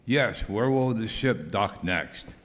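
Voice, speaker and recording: A fussy, protective male castaway, played by a man, talks slowly with very lively inflection in radio-skit style. His voice rings in an echoey hall. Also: lowish voice. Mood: puzzled. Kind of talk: amateur radio comedy skit acting.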